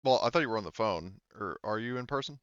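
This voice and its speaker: Smooth-voiced man running a game, slightly muffled. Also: lowish voice, lively intonation, fast talker, fairly bright tone, no room sound. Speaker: game master. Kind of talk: casual tabletop roleplay narration.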